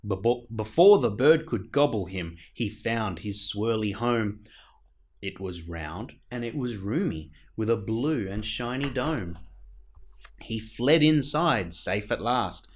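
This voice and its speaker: A man reading a children's picture book aloud as a storyteller, in a theatrical manner with dramatic pauses. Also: lowish voice. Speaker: storyteller, show host.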